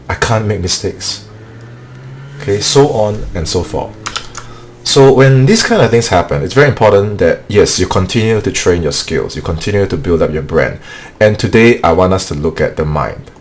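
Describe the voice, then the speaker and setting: Deep-voiced man coaching sales. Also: expressive, normal speed. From Singapore. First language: not English. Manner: earnest, repetitive, peppers speech with "okay". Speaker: sales trainer hosting weekly live training. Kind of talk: motivational live-stream training.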